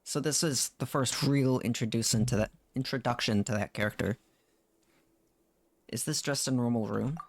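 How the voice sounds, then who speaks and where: A man's voice: mid-range voice, moderate pace. A man streaming a story game, reacting casually and thinking aloud in a puzzled way. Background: game music.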